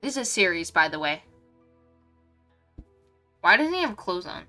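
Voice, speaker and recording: A young woman streams a game with a bright, forward voice that is clearly congested. Her talk is casual and scattered, full of little asides to herself. Music plays faintly in the background. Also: on the low side, sing-song, moderate pace. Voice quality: stuffy, congested nose. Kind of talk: casual live stream chat while playing a game.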